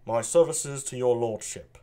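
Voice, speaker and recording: A man voicing a loyal older lord in a play reading, polite and measured. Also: medium pitch, warm tone, clear, resonant tone, natural voice. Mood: courteous.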